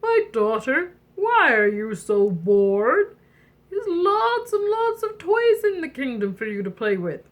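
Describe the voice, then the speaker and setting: A woman voicing a fatherly, solemn storybook king who speaks in a measured, earnest way. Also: sits high, big pitch swings, full character voice. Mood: fond, puzzled. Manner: measured, earnest, stressing words. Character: fatherly, solemn, doting old-fashioned king.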